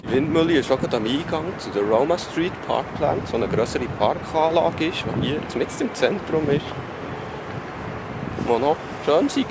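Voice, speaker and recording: A man's voice: fairly high pitch, animated, normal speed. A man giving casual, wry travel vlog commentary while out and about in a city. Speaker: travel vlogger. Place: outdoors in a city park and streets. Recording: very noisy outdoor street and park audio.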